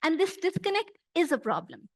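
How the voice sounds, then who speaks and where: A middle-aged woman from Pakistan with a Pakistani English accent and Punjabi as her first language, giving a measured, earnest prepared talk at a conference with occasional fillers. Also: very high-pitched, big pitch swings, no echo, studio quality. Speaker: conference speaker. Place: conference hall.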